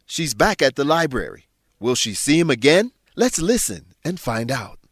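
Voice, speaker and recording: A male narrator introduces a radio drama in a welcoming, storytelling style. His mid-pitched voice moves up and down with lots of animation, and the sound is dry with no room echo. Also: big pitch swings, moderate pace, breathy edge.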